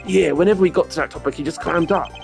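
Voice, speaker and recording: A man's voice: a little high, fast, creaky. A man voicing an earnest, deferential police detective in a video game, eager and informal. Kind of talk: amateur video game voice-over. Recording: loud music under the voice.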